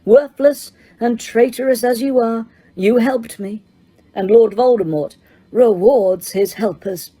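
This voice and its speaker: A woman voicing a cold, slow, menacing dark lord. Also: on the high side, slightly rough, voice pushed up.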